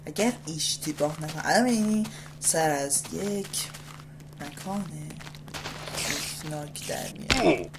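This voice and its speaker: Loud noise sits behind a lowish-voiced woman with an Iranian Persian accent hosting a gameplay video. Her pitch swings widely as she rambles casually to herself and her viewers, excitedly repeating short phrases. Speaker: gaming video host. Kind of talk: casual gameplay commentary, talking to self and viewers. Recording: loud background noise and game ambience.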